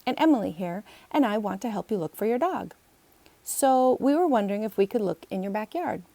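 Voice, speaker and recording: A woman's voice: mid-range voice, animated, fairly quick, creaky, dark tone, no echo. A woman voicing a sensible, grumbly young boy detective in a children's storybook, speaking in a firm, reluctant, emphatic manner. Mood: polite.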